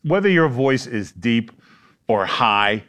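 A man on a talk show panel, rambling and animated, acting out little voices as he makes his point. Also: mid-range voice, takes it slowly.

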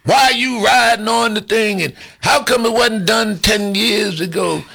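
Husky, folksy man hosting a radio show. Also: high-pitched, a little slow, bright, forceful tone. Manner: folksy, colloquial sayings. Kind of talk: casual radio chat. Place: radio studio.

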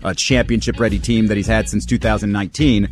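A man's voice: lowish voice, steady tone, fast talker. A man with an American accent chatting casually about sports as a talk show panelist. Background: music.

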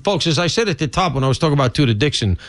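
A man's voice: fairly high pitch, lively intonation, racing, dry recording. A male radio host interviewing a guest on a political talk show, chatty and opinionated.

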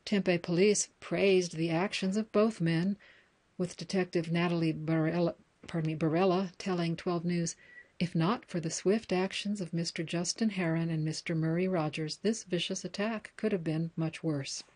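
A woman with a slightly low voice reads news stories and book passages aloud. Her delivery is steady and deliberate, and she corrects her own small slips as she goes. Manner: measured reading with small self-corrections. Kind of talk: reading excerpts and news articles aloud.

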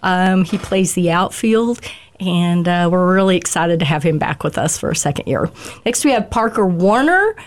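A slightly hoarse woman guesting on a radio show, chatting as she introduces some young ball players. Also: lowish voice, animated, normal speed. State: a little hoarse from yelling. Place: radio studio.

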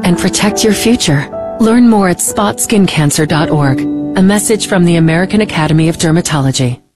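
A woman reading a public service announcement in a calm voice-over. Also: fairly low pitch, fairly quick, loud music behind the voice.

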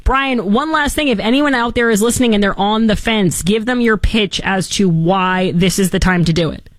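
A woman's voice: mid-range voice, moderate pace, no echo, clean studio sound. A woman hosting a talk radio show, upbeat and promotional, interviewing a caller. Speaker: radio host.

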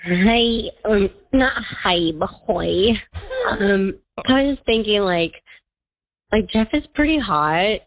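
A woman's voice: medium pitch, expressive, slow, a little hiss or hum. A woman leaving a flirty, rambling voicemail as a caller. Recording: tinny phone voicemail.

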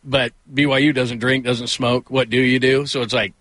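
A man's voice: medium pitch, flat delivery, moderate pace, crowd noise. A man hosting a casual sports talk radio show, rambling conversationally through stories with self-deprecating asides. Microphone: close studio microphone.

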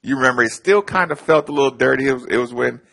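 A man co-hosting a casual sports talk show, telling animated stories with hushed asides. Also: medium pitch, very fast, gravelly.

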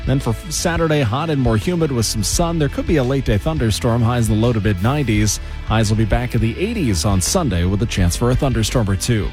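A man's voice: mid-range voice, expressive, a little mellow, faint music behind. Male radio weather forecaster. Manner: measured, clear delivery. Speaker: meteorologist.

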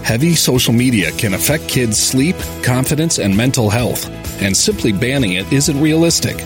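A man's voice: mid-range voice, expressive, normal speed, over music. A man reading an earnest, reassuring public service announcement.

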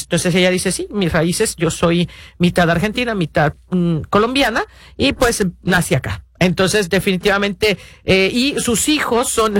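A male radio host with a high voice speaks in an earnest, rambling way. He restarts often and leans on fillers. The sound is close and dry, with no room in it. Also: fairly bright tone, no echo. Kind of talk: casual radio talk to parents.

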